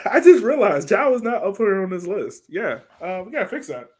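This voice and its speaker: A man's voice: high-pitched, very animated, moderate pace, slightly rough. A man chatting casually as the host of a sports podcast.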